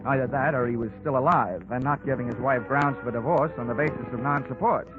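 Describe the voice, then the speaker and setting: An American actor playing a wisecracking hardboiled insurance investigator in 1940s radio style, with a dry, clipped delivery. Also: male voice, medium pitch, fairly quick, close to an everyday voice. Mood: wry narration. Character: wisecracking hardboiled male insurance investigator narrating his case. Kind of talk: 1940s radio detective acting and narration. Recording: muffled vintage radio recording with music.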